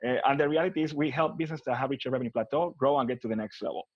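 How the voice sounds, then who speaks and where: A man with an Argentine accent speaks at an ordinary pitch in a casual interview, often restarting and repeating words as he thinks. The sound is dry with no room echo, and somewhat muffled. His delivery is conversational and unscripted. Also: very fast. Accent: Argentine Spanish. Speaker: guest, business consultant.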